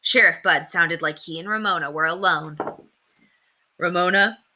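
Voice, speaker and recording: A woman's voice: low-pitched, brisk, pushed and bright, slight background noise. A woman narrating a children's story aloud from a book.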